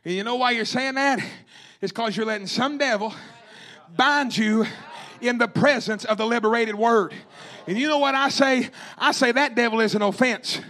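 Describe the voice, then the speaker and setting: A man's voice: very high-pitched, normal speed, bright, forceful tone. A man preaching a fervent revivalist sermon, emphatic and repetitive, calling out to his congregation in rhythmic exhortation. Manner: calls out to the congregation.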